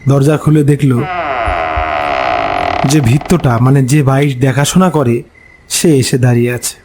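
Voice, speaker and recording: A man narrating a scripted horror story in a dramatic, suspenseful way, pausing between short phrases. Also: mid-range voice, steady tone, a little mellow, slight background noise. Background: background music.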